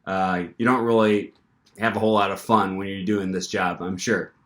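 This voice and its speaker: A young man vlogging casually, telling an animated story with lots of fillers and restarts. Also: low voice, moderate pace. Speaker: language-learning vlogger.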